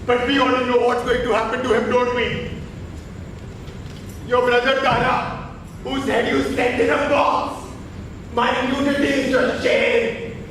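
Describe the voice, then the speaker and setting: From a distance in a hugely echoing hall comes a man acting a defiant Sufi holy man on stage. His voice is very high for a man, declamatory and accusing, mocking those in power. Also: flat delivery, unhurried, long pauses, fairly bright tone. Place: indoor theatre stage, picked up from afar. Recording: distant, very echoey hall, faint noise.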